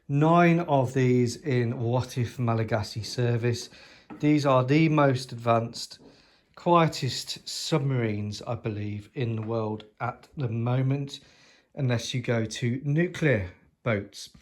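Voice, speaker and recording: A sniffly man, stuffed up with hay fever, talks through his scale models at home. His pitch sits in an everyday middle range. He rambles along casually, with plenty of ums and a dry sense of humour. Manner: chatty and rambling, with many ums and dry jokes. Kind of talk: casual show-and-tell of a model collection.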